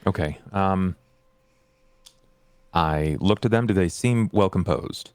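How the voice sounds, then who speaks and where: A man playing a tabletop game, asking casual, halting questions of the game master. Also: low-pitched, darkish tone, clear, resonant tone, no echo.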